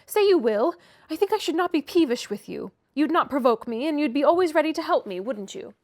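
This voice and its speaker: A woman's voice: high voice, lively intonation, moderate pace, dry recording, clean studio sound. A woman reading aloud and voicing a sickly, peevish teenage boy who whimpers and sulks. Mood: pleading. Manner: petulant, whimpering.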